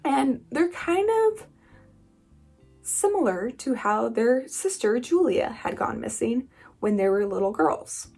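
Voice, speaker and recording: A woman's voice: on the high side, very animated, slow, vocal fry, darkish tone, breathy edge, faint music behind. A woman hosting a book vlog, talking in a casual, chatty style with informal, emphatic asides. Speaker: book reviewer and channel host. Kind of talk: casual chatty video monologue.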